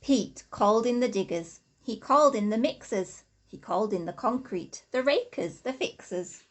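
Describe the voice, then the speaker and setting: A woman, an infant school teacher, reading a rhyming storybook expressively to children with deliberate, sing-song emphasis. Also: fairly high pitch, animated.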